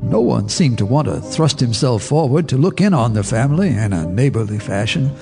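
An actor narrating as an old neighbor recalling dark events, grave and measured, in a radio drama. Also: male voice, medium pitch, lively intonation, soft background music, lightly acted. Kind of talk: scripted storytelling narration.

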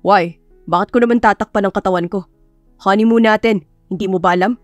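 A woman's voice: mid-range voice, fairly even intonation, brisk, no room sound, close to an everyday voice. A woman voicing a smug, teasing, curt groom in an audiobook. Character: smug, teasing baritone groom, easily scandalized. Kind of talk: audiobook character acting. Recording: music behind the voice.

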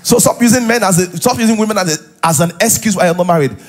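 A middle-aged man with a Nigerian accent and a husky voice, preaching as a pastor to a live congregation in an animated, conversational way, full of interjections and rhetorical questions. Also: high-pitched, quick pace. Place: large church auditorium, on stage. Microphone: headset.

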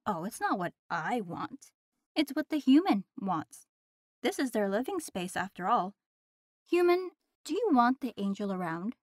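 A woman's voice: medium pitch, very animated, unhurried. A woman acts out a sardonic female demon in an audio roleplay. Her mid-range voice is teasing and nonchalant. The recording is close and dry, with no room echo. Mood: cocky, dismissive.

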